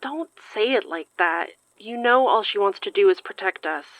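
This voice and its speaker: A woman's voice: mid-range voice, expressive, moderate pace, dry recording, muffled recording, natural voice. A woman playing a worried, loyal friend in a naturalistic audio drama. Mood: worried, pleading.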